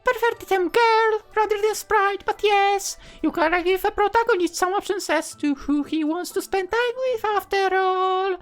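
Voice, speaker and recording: A woman voicing an excitable, bossy teenage girl, a perky and theatrical visual novel character. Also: extremely high pitch, normal speed, hardly any pauses, faint music behind, pitched above the performer's own voice. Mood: bubbly, eager. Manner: perky, theatrical, indignant when teased. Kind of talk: anime-style game character voicing.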